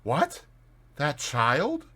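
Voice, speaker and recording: A man's voice: fairly high pitch, huge pitch swings, extremely slow, pushed and bright, husky, voice pushed up, heavily acted. A man voicing an easygoing male mole in a dramatized storybook, talking lightly and reassuringly. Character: easygoing, unworried male mole, kindly animal friend. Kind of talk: dramatized storybook character reading.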